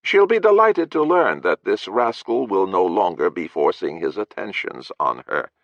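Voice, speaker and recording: A man's voice: low-pitched, big pitch swings, moderate pace, no room sound, voice pushed up, exaggerated voice. A man voicing a haughty, contemptuous male lord with a grating, drawling, blasé voice. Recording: dry, slightly dull audio.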